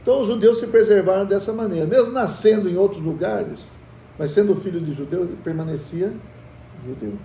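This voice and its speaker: A man with a Brazilian Portuguese accent leads a church Bible study, quizzing his listeners and calling them brothers. His voice sits a little high but sounds mellow. He is heard from across a reverberant room. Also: fairly quick, vocal fry. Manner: conversational, quizzes the group with questions. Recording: echoey room, from far off, faint hiss.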